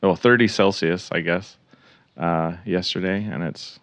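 Deep-voiced man hosting a podcast. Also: steady tone, unhurried, fairly bright tone, no echo. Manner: friendly, chatty, with frequent fillers. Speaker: podcast host. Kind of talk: casual interview chat. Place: home in Oregon.

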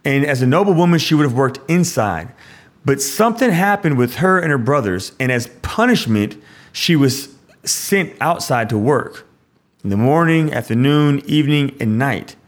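A man's voice: mid-range voice, expressive, moderate pace. A priest hosting a show and explaining a scripture passage in a conversational way. He often restarts his sentences and adds "like" asides.

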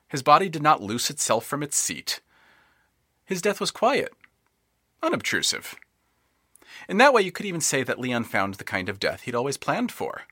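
Male narrator for an audio drama, wry and animated, with a bright edge to the tone. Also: mid-range voice, dry recording, studio quality.

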